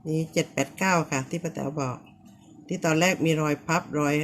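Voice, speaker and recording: A woman, called auntie by herself, giving a casual home demonstration, speaking politely and repeating short pointing phrases with soft polite endings. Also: deep voice, fairly even intonation, brisk, a little hiss or hum. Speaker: vlogger showing how to clean banknotes.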